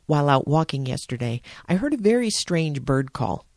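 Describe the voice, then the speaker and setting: A middle-aged American woman hosting a spoken devotional, telling it in a measured, reflective way. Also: low voice, very animated, darkish tone, no room sound, clean studio sound. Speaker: devotional podcast host, church organization director.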